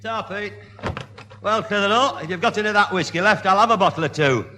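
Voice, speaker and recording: A man's voice: high voice, fairly quick, pushed and bright. An actor playing a blustering, sarcastic Northern English man in a vintage radio sitcom. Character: blustering, sarcastic grown man, sceptical neighbour. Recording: hissy 1970 radio comedy recording.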